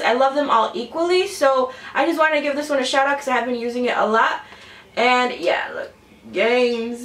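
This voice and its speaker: A woman's voice: sits high, a bit bright. A young woman in her twenties chatting casually about makeup as a beauty vlogger, rambling with lots of fillers and sounding a bit congested from a cold. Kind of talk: casual chat to camera. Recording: roomy echo, mic a bit distant.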